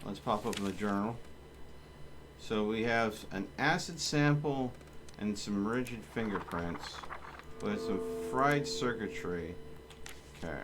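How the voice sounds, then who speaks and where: A man's voice: fairly low pitch, a little slow, background noise. A man doing live gameplay commentary as a streamer, talking to himself in short running remarks. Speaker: game streamer. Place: indoors, streaming a game.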